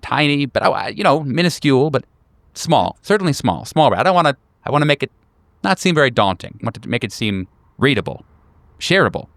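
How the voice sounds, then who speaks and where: A man's voice: mid-range voice, sing-song, brisk, fairly bright tone, dry recording, voice pushed up. A man voicing an eager, flighty bachelor writer who hesitates and repeats himself as he fusses over words. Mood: excited, dithering.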